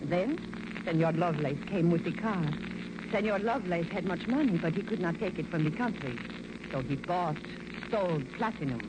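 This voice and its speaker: An actress playing a passionate, scheming femme fatale with a Spanish accent in an old-time radio detective drama. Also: female voice, lowish voice, animated, normal speed, close to an everyday voice. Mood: coaxing. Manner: melodramatic, coaxing and imperious. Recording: noisy, dull old radio recording.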